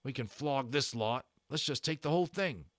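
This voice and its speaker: A man's voice: mid-range voice, expressive, normal speed, a bit bright, no room sound, studio quality, voice pushed up, close to an everyday voice. A man voicing a thuggish young skinhead burglar in a storybook reading, with coarse slangy speech and a greedy, panicky temper. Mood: furtive greed. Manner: coarse slangy speech, howling when hurt.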